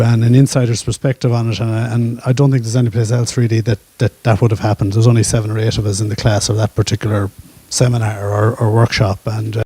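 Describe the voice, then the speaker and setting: Relaxed man with a dark, flat voice. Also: mid-range voice, no echo. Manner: relaxed, hesitant, many fillers. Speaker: current master's student. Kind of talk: panel testimonial. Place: university event hall.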